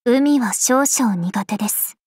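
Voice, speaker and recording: A woman playing a regal, serene vampire princess of a video game, speaking formally and with measured poise. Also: on the high side, a little mellow, husky, no echo, clean studio sound. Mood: serene, pensive. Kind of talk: scripted mobile game character voice lines.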